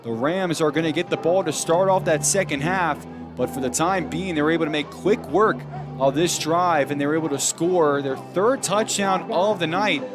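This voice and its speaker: A man's voice: fairly high pitch, moderate pace, music in the background. A young adult American man giving live play-by-play commentary as a football announcer.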